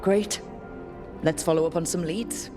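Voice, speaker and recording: A woman playing a confident young team leader in a video game, calm and wry, with a steady delivery. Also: lowish voice, a little slow, music in the background, natural voice. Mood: resolute. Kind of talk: dramatic video game acting.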